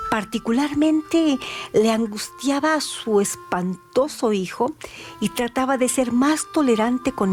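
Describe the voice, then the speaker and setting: A woman narrating a scripted dramatic radio story in a theatrical, deliberate way. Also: fairly high pitch, a little mellow. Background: music bed.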